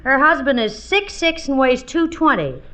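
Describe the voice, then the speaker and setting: A woman's voice: high voice, very animated, normal speed. An American woman acting as an earnest, gee-whiz sidekick in a scripted 1940s radio comedy. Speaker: comedy sidekick feeding straight lines. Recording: noisy, dull vintage radio recording with clicks.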